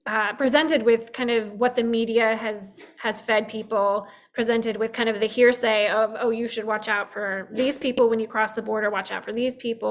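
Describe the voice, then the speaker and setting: Woman hosting a film festival Q&A. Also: on the high side. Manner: friendly, with frequent fillers. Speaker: festival Q&A host. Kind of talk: moderating a film Q&A.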